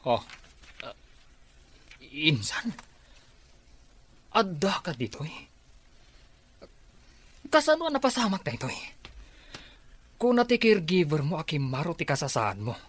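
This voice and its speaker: A man's voice: fairly high pitch, wildly swooping pitch, unhurried, pauses often, fairly bright tone, exaggerated voice. A man with an Ilocano accent playing a sheepish, evasive office employee in a radio comedy drama. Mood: evasive, startled.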